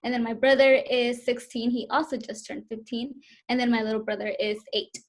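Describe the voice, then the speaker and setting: A woman's voice: on the high side, fairly even intonation, a little fast. A woman in her twenties answering interview questions over a video call, hesitant and full of fillers. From Southern California, US. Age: 20s.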